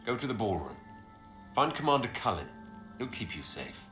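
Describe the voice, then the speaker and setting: A man's voice: mid-range voice, big pitch swings, normal speed, pushed and bright. An actor playing a resolute inquisitor in a video game, direct and authoritative as he questions and gives orders. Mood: commanding. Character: commanding male inquisitor, resolute hero and investigator. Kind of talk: video game cutscene dialogue. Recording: roomy echo, faint music behind.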